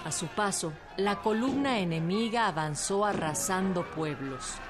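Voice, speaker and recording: A woman with a Mexican accent narrates in a scripted documentary style. Her voice sits at a medium pitch, with music clearly playing behind her. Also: lively intonation, unhurried.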